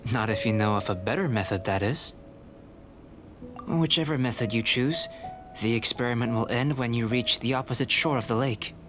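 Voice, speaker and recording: A cerebral young male researcher, acted by a man in a video game. He talks in a measured, detached and politely scholarly manner at a medium pitch, with music clearly behind him. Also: lightly acted. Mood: calm, instructive.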